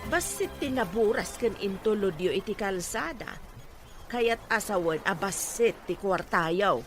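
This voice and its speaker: A woman's voice: medium pitch, lively intonation, measured pace, faint music behind, natural voice. A woman playing a reproachful farm mother in an Ilocano radio drama. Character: reproachful farm mother defending her hardworking son.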